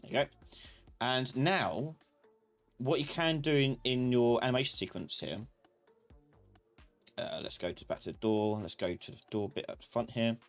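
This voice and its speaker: A man giving a live software tutorial as an instructor, informally thinking aloud and talking to himself as he works. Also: mid-range voice, normal speed, pauses often, faint music behind. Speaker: instructor of a live online class. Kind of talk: live software tutorial, explaining while working.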